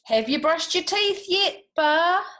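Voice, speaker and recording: A woman's voice: very high-pitched, lively intonation, slow, bright, forceful tone, pitched above the performer's own voice, full character voice. A woman voicing a big male bear who firmly coaxes a little one to bed in a children's storybook. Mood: coaxing. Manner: coaxing, firm commands. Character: big grown-up male bear, firm bedtime carer.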